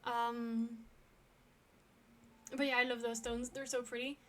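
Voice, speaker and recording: Young french-american woman streaming, high voice, chatty and giggly. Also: flat delivery, takes it slowly. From France. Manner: chatty, frequent ums and laughs. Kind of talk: casual chat with viewers.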